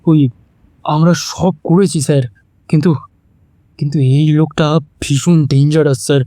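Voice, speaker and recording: A male actor plays a police constable in an audio drama. His voice is fairly high and dry, officious and easily flustered. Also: fairly even intonation, normal speed, warm tone, dry recording, close to an everyday voice. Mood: anxious, pleading. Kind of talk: Bengali audio drama acting.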